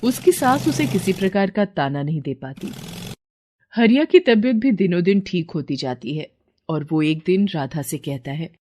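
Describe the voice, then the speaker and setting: A woman's voice: on the low side, lively intonation, normal speed, dark tone. A woman narrating a scripted moral story as a storyteller.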